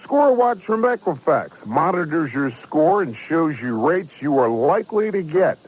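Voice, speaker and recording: Husky, lively male radio ad announcer. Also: a little high, unhurried, low-quality recording. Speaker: advertisement announcer. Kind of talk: scripted radio ad read.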